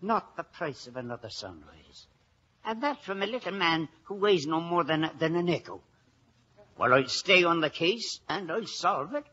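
An actor playing a proud, stubborn old Irish village policeman in an old-time radio drama, with a husky voice. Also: on the high side, animated, normal speed, pushed and bright, heavily acted. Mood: wounded, determined. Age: older. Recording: dull old radio recording.

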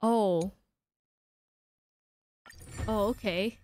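A woman game streamer giving casual live commentary to chat in informal, halting, slangy speech. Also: medium pitch. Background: game music.

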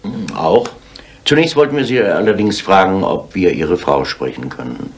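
A deep-voiced police inspector in a 1970s radio crime drama. He puts his questions politely and with measured calm. He is persistent and never lets go of a point. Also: slightly rough, exaggerated voice. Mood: politely probing.

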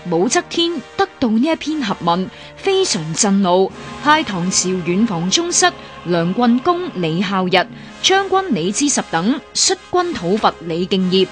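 A woman's voice: medium pitch, big pitch swings, unhurried. A woman with a Hong Kong Cantonese accent reading formal scripted historical narration as a radio narrator. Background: music.

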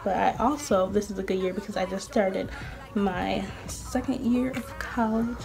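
A woman's voice: mid-range voice, gravelly. A 19-year-old woman chatting casually in her bedroom while doing her makeup, rambling with lots of "like" and "um". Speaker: beauty vlogger. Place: small bedroom.

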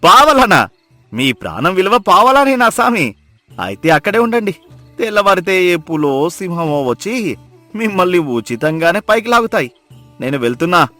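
A man playing a mocking forest hunter who drives a hard bargain, loud and taunting, in a moral story. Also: high voice, very animated, moderate pace, faint music behind, voice pushed up, exaggerated voice. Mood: scornful. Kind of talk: dramatized moral story acting.

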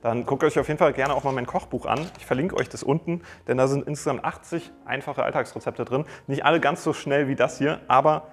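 A young man hosting a cooking video, explaining each step in a friendly, chatty way with small jokes, recorded on a lapel mic in a bright home kitchen. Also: mid-range voice, fairly quick, few pauses. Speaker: cooking channel host. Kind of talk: casual cooking tutorial.

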